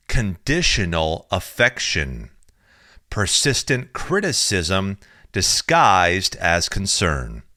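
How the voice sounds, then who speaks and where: A man reading a chapter of his own book aloud in a slow, measured, deliberate way, recorded close on a studio mic. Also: low voice, pushed and bright, no echo. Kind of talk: audiobook reading.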